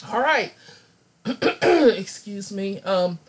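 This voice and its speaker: A woman with a hoarse, raspy voice, still getting over laryngitis, teaching a scripture study lesson over slides and thinking aloud with many ums. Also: mid-range voice, animated, extremely slow.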